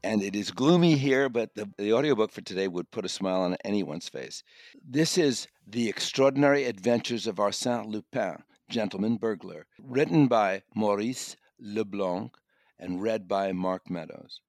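Low-voiced man reviewing audiobooks. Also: moderate pace, no room sound. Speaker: audiobook reviewer and podcast guest. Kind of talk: conversational review.

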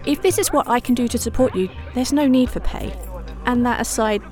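Actress as keen young student girl, bit tentative, highish voice, natural fantasy drama chat, some music. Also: fairly quick, darkish tone, close to an everyday voice. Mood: eager, friendly. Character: eager, good-natured young female student scribe. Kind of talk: naturalistic audio drama dialogue.

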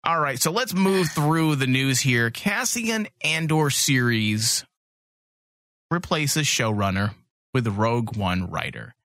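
A man hosts an opinionated podcast in a medium-pitched voice that moves around a lot. He is blunt and emphatic, casual in his wording, and recorded very dry. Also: lively intonation, slow, a bit bright, dry recording. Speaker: podcast host.

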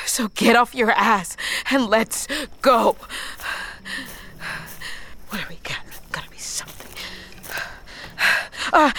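An actress playing a desperate, determined woman fighting to survive, urging herself on in halting mutters and breathy whispers in an audio drama. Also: medium pitch, a little hiss or hum. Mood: strained, focused. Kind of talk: audio fiction acting.

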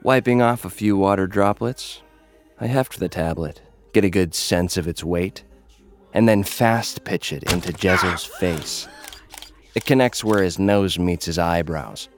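A man's voice: deep voice, unhurried, soft background music. A man narrating an audio drama in the first person as a cocky, jaded young influencer who fights, with a brooding, menacing delivery. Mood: brooding, cynical. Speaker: narrator and lead character of an audio fiction.

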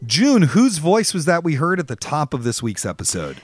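An excitable, emphatic man hosting a podcast in a conversational style. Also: fairly high pitch, normal speed. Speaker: podcast host.

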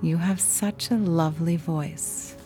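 An actress playing a strange, quiet housewife in a radio drama, speaking softly and detached. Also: female voice, fairly low pitch, steady tone, slow, faint music behind. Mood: eerily calm. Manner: soft, eerie and detached.

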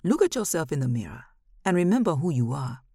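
A woman's voice: low voice, sing-song, a little fast, mellow, slightly rough, no room sound, studio quality, full character voice. A woman voicing a loving father who solemnly gives his daughter advice in a story, trying to sound profound. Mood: earnest.

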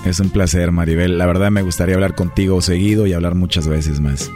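Mexican guy with a real low voice sweet-talking on a prank call. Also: fairly even intonation, fast talker, darkish tone, faint music behind. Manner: smooth, teasing, flirtatious. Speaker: radio prank caller. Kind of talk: flirty prank phone call.

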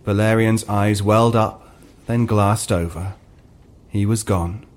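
A man's voice: fairly low pitch, fairly even intonation, takes it slowly, a little mellow. A man giving a dramatic scripted narration of a fantasy story.

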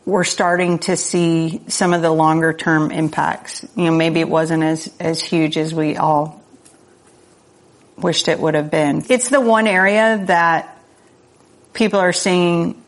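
Unhurried woman guest, easy laugh. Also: low-pitched. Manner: unhurried, laughs easily, self-deprecating. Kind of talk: casual conversational interview.

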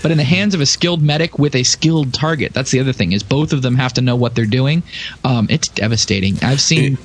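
A man's voice: mid-range voice, expressive, fast. Man explaining things emphatically, casual podcast style. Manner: explanatory, emphatic, talks through examples. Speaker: podcast panelist discussing game tactics. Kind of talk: casual gaming podcast discussion.

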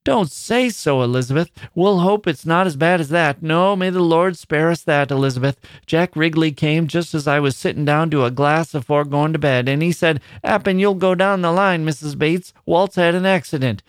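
A man's voice: fairly high pitch, normal speed, few pauses, clean tone, no echo, studio quality, pitched above the performer's own voice, natural voice. A man voicing a fretful elderly miner's mother from the English Midlands, who weeps, laments and rambles. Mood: lamenting. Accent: English Midlands dialect. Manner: whining, lamenting, repetitive.

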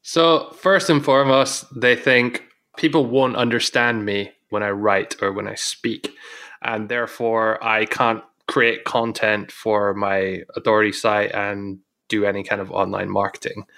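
A man co-hosting a podcast, explaining points in a measured, casual way. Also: fairly low pitch, fairly even intonation, vocal fry, pushed and bright. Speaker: podcast co-host.

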